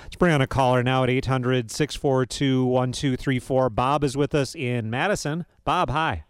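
A man hosting a radio call-in show, bringing on callers and putting questions to his guest in a brisk, conversational way. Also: mid-range voice, fairly even intonation, smooth, no echo, clean studio sound. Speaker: radio host. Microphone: studio microphone.

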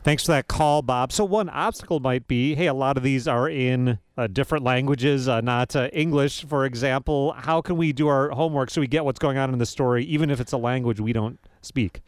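A man's voice: mid-range voice, brisk, few pauses, dry recording. Man hosting a call-in radio show. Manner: friendly, conversational, with some hesitations.

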